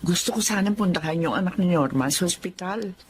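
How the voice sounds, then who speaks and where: A woman's voice: on the low side, a little fast, lightly acted. A woman playing a compassionate, childless middle-aged wife, tender and wistful, in a Filipino radio drama. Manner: soft-hearted, wistful. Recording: faint background noise.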